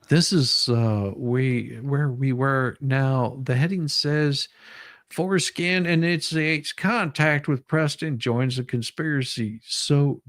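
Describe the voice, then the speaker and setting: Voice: medium pitch, no echo, studio quality. A livestream host switching between a dramatic deposition read-through and informal, jokey chat.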